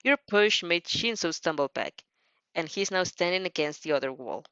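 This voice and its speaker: A woman's voice: lowish voice, lively intonation, normal speed, a bit bright, smooth, no room sound, highs cut off. A woman narrating fanfiction expressively, dropping in casual asides as she goes.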